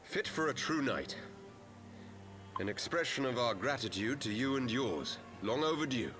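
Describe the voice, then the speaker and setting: An actor playing an earnest, conflicted young noble heir in a fantasy game, speaking in formal archaic language. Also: male voice, medium pitch, big pitch swings, moderate pace, a bit bright, soft background music. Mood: grateful. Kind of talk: scripted fantasy game acting.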